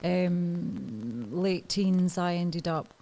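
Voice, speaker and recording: A woman's voice: fairly low pitch, lively intonation, very slow, mellow, soft background music. A woman in her thirties, a podcast guest talking candidly and hesitantly, with frequent ums and laughs. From Scotland. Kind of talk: podcast interview.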